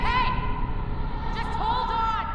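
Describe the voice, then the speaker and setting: A woman's voice: very high-pitched, flat delivery, drawn-out words, full character voice. An actress playing a desperate woman making urgent, pleading calls in a video game scene. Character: desperate woman shouting to keep someone alive. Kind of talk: dramatic video game cutscene acting. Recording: echoing, distant, under loud music.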